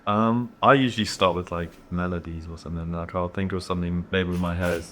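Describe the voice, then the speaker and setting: A man's voice: low-pitched, steady tone, a little fast, fairly bright tone. A young man from Hong Kong, a guitarist, talking casually in an interview. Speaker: band guitarist. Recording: ambient room noise behind.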